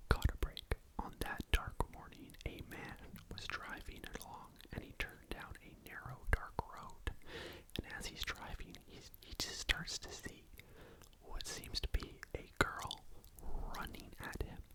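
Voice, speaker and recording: A person telling a true crime story in a breathy, unvoiced ASMR whisper, with a casual, conversational manner. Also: poor audio. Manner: casual, conversational retelling with repetition.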